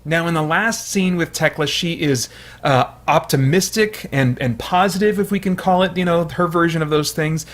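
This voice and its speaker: A man's voice: fairly high pitch, normal speed, a little hiss or hum. A man hosting a video essay, explaining in an informal, analytical way with frequent hedging.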